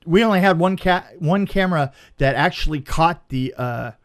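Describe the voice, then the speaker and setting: A man's voice: a little high, expressive, moderate pace. A man telling a casual anecdote as a film crew guest, stammering and repeating words as he goes.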